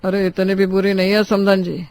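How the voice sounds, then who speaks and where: A man's voice: sits high, completely flat delivery, moderate pace, a little mellow, no room sound. A man playing a teasing, kindly middle-aged father-in-law in a dramatized family story.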